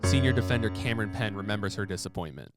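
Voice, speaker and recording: A man hosting and narrating a sports audio documentary in a measured storytelling voice. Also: fairly low pitch, expressive. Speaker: podcast host and narrator. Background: music bed.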